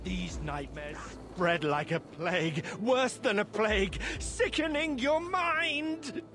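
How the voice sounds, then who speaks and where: An actor playing a fearful, raving villager tormented by nightmares in a video game, ominous and theatrical. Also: male voice, a little high, a little slow, over music. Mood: ominous, unhinged. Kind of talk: video game character acting.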